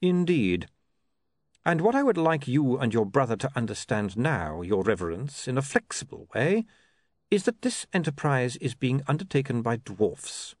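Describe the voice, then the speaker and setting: A man's voice: mid-range voice, very animated, a little mellow, dry recording, pitched above the performer's own voice. Man as a cool, unruffled city ruler, dry sound. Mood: calm, pointed. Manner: measured, precise, unruffled. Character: calm, coolly ironic male ruler of a fantasy city.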